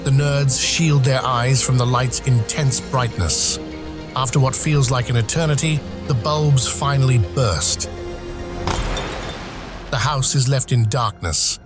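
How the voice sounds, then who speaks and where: A man reading dramatic scripted narration for an audio drama, with measured, deliberate phrasing. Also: mid-range voice. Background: music.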